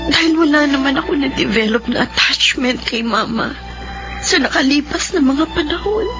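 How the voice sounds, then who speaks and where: A woman's voice: high-pitched, lively intonation, muffled recording. A Filipino woman acting in a radio drama as an emotional youngest adult child devoted to a forgetful mother. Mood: regretful, emotional. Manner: emotional, drawn-out, pleading delivery. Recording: band-limited radio drama audio under loud music.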